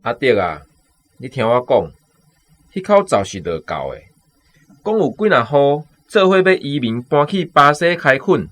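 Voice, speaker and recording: A friendly Taiwanese farm villager in a radio play. He explains things persuasively in a mid-pitched voice with animated rises and falls. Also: unhurried, soft background music. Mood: explaining, persuasive. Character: friendly male farm villager offering advice. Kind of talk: radio drama acting.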